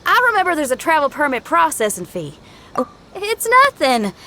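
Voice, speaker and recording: A woman's voice: high voice, very animated, moderate pace, sharp tone, faint music behind, heavily acted. A woman playing a spirited, easygoing young fighter in a game, with a folksy, breezy, hearty manner. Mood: friendly, impressed. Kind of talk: game voice acting.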